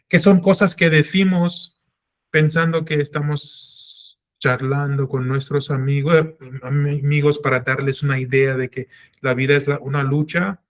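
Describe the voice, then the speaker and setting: A middle-aged man teaching a Torah class in an earnest, self-reflective way, drawing on his own life. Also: on the high side. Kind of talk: religious class lecture.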